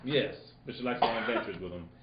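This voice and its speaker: A man's voice: lowish voice, quick pace, background noise. A man in casual, joking banter during a read-through. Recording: muffled, echoey live room.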